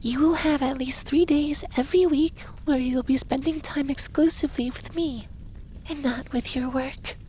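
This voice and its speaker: A man playing a possessive, stern but caring effeminate dragon lover in an intimate ASMR roleplay, soft and breathy with whispers. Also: extremely high pitch, fairly even intonation, normal speed, slight background noise. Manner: commanding, teasing, tender.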